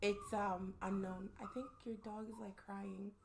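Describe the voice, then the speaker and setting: A woman in her thirties, from Somalia, a podcast guest telling her story in a measured, calm way. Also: mid-range voice, vocal fry, noisy background. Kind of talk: casual interview chat.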